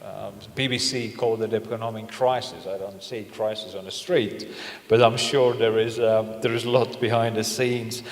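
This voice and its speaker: A middle-aged man moderating a panel, asking questions in a halting way with non-native phrasing. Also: lowish voice, steady tone. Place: large indoor conference stage. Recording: echoey, reverberant conference hall.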